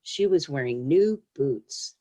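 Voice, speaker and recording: A woman's voice: extremely low pitch, expressive, takes it slowly, mellow. A woman who is a children's book author, speaking as a guest on a storytime show, reading aloud or chatting casually. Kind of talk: storybook read-aloud, then casual interview answers.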